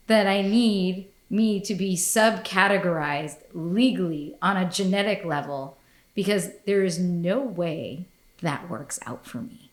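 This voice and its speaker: A woman hosting a podcast in casual chat, blunt and profane, laughing often. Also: lowish voice. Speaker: podcast host. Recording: echoey room.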